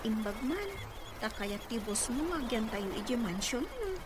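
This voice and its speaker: A woman playing an excitable, curious younger sibling in a radio comedy drama, with an Ilocano accent. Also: fairly high pitch, unhurried. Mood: surprised, excitable. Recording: very noisy radio drama with ambience.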